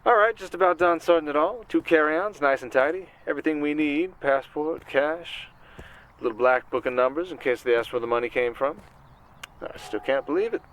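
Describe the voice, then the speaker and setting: Man acting a slick, higher-voiced charming conman. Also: low-quality recording. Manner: slick, suave, old-fashioned wiseguy endearments. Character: smooth, cocky male Vegas conman, charming and flirtatious. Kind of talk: ASMR roleplay acting, intimate monologue.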